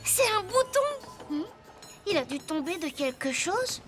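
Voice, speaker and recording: A cheerful little toy boy sleuth in a children's cartoon, with a very high, childlike voice. He is eager and polite, and music plays clearly behind him. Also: big pitch swings, unhurried, heavily acted. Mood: curious. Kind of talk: French children's cartoon dubbing.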